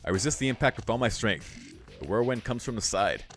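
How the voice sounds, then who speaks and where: A man's voice: medium pitch, animated, a little fast, fairly bright tone. A man reading visual novel narration aloud as a let's play host, with casual asides.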